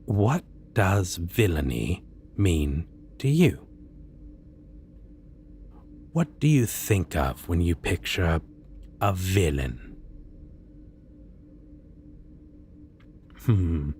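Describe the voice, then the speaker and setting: A man playing a suave, courteous yet menacing supervillain interviewer in a soft-spoken, deliberate ASMR roleplay. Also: sits low, a little hiss or hum, heavily acted. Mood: probing, dry. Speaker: voice actor.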